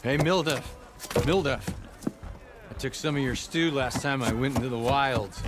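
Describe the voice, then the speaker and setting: An actor playing a hearty traveler in a video game, friendly and boastful. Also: male voice, mid-range voice, normal speed, very noisy, lightly acted.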